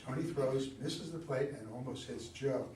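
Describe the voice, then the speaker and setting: A man's voice: medium pitch, normal speed. A man reading stage directions as narrator at a staged play reading. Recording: echoey room, far from mic, background noise.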